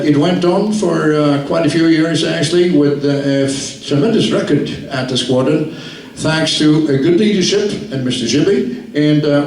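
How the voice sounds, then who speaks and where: Older man, halting with fillers, far from mic. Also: fairly high pitch, darkish tone. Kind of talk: reunion speech. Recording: very echoey hall from far off.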